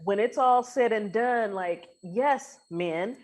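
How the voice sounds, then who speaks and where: A woman's voice: medium pitch, slow pace. A woman speaking as a guest coach in a casual podcast conversation, earnest and colloquial, with emphatic phrasing.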